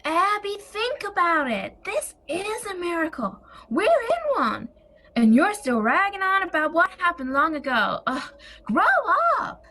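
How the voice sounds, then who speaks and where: Woman playing a very high, bright, breezy sister. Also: very animated, measured pace, exaggerated voice. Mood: exasperated. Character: breezy, enthusiastic woman placating her cross sister. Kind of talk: audio play acting.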